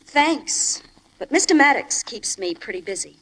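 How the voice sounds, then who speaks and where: A fairly high, very animated woman's voice from an old radio drama. She is a glamorous secretary and femme fatale, coy and teasing, her pitch sliding playfully as she drawls. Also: unhurried, breathy edge, exaggerated voice, rough recording. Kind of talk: 1940s radio crime drama acting. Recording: old-time radio broadcast recording.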